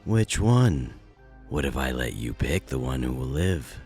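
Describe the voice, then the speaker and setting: Evil spirit in a stolen male body: extremely low, taunting, theatrical villain, audio drama with prominent music underneath. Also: big pitch swings, measured pace, a little mellow, breathy edge, heavily acted. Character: sneering evil spirit possessing a man's body, menacing villain.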